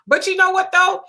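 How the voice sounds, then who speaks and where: A woman in her forties co-hosting a casual live discussion, chatty and animated, driving points home with a rhetorical "right?". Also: very high-pitched. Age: 40s. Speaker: co-host.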